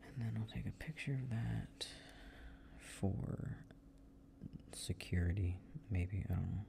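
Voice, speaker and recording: A young man in an ASMR boyfriend roleplay, muttering softly and distractedly to himself as he works, half in a whisper. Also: on the low side, takes it slowly, creaky, background noise. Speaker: audio roleplay performer.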